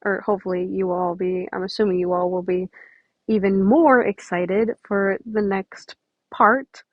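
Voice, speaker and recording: A woman's voice: mid-range voice, fairly even intonation, normal speed, no echo, highs cut off. A woman reading a story aloud and chatting casually to listeners as a podfic reader.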